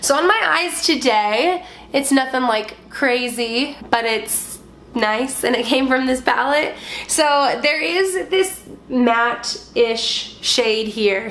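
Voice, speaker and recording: Young woman vlogger with a slightly high voice, stuffy from a cold, chatty and relaxed. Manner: chatty, lots of "like" fillers. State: stuffy, voice partly gone from a cold. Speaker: beauty vlogger. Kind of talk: casual product review.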